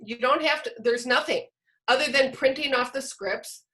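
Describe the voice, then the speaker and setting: Woman, fairly high voice, teacher trainer, explanatory, online workshop presentation, question-posing delivery. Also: normal speed, bright, forceful tone. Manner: explanatory, poses questions to listeners. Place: online video call.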